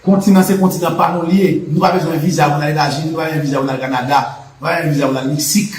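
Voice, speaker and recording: A man's voice: fairly high pitch, fairly even intonation, rapid-fire, roomy sound, slight background noise. A Haitian man with a Haitian Creole accent, hosting a live political and history talk with a fervent, repetitive, preaching cadence. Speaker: channel host.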